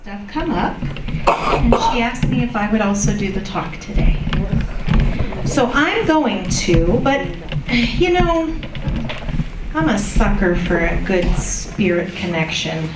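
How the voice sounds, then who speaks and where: A woman's voice: mid-range voice, very animated, slow, long pauses, heavy background noise. A woman giving an unprepared church talk, candid and self-mocking, laughing often. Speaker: guest speaker and church musician. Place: church sanctuary. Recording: echoey church room from far off.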